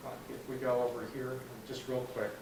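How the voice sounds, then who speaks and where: A man's voice: mid-range voice, flat delivery, brisk, background noise. Chatty man in an echoey room. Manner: chatty, explains step by step with frequent "okay". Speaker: club meeting presenter. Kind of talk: casual computer club demo. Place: meeting room, heard from a distance. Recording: echoey room, far from the mic.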